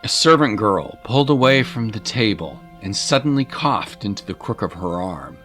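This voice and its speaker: Male storyteller, low-leaning pitch, dramatic scripted narration, expressive, measured, literary, prominent music bed.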